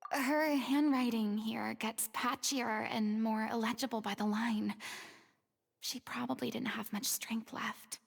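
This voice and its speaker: An earnest, compassionate young woman investigator in a video game. She speaks in a soft, husky half-whisper with a fairly high pitch and a gravelly edge. A long reverb trails behind her voice. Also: fairly even intonation, measured pace. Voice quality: soft, breathy, partly whispered.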